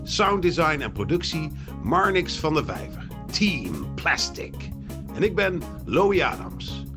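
A male narrator reads scripted credits in a fairly high voice. His pitch moves in a lively way, and guitar music plays clearly behind him. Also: takes it slowly. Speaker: narrator reading title and credits.

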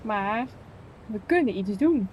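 A woman's voice: mid-range voice, expressive, slow, lo-fi sound. A young woman playing an idealistic, chatty sister in naturalistic, conversational radio play dialogue. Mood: earnest. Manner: conversational, earnest. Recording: faint station ambience noise.

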